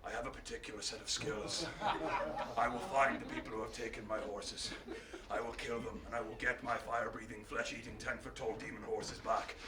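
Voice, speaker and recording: A man voicing a vengeful, menacing king in slow, measured threats, in theatrical storytelling. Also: on the low side, little pitch movement, pitched below the performer's own voice, natural voice. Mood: quiet menace. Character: vengeful king, menacing action-film avenger. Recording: echoey room, distant mic, faint noise.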